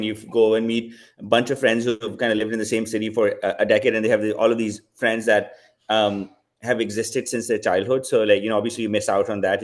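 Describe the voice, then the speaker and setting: A man from Pakistan in his thirties, a company founder speaking as guest in a fireside chat, relaxed and reflective with many fillers. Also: fairly low pitch.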